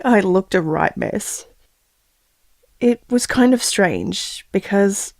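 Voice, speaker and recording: A tender, anxious mother-to-be in an audio drama monologue. She talks slowly in a medium-pitched voice, reflective and confiding, with a dry, close sound as if only her baby should hear. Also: darkish tone, no room sound. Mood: anxious, confessional.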